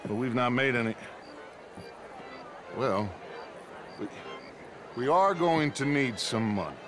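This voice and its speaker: An actor playing a calm, courteous middle-aged outlaw leader in a video game, speaking in a measured, smooth way. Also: male voice, medium pitch, over music. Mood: polite.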